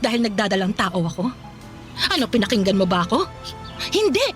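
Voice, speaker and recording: A woman's voice: medium pitch, very animated, a little fast, a bit bright, soft background music. A woman playing a scorned, proud ex-mistress in a Filipino radio drama, confrontational, sarcastic and emotional. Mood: anguished fury.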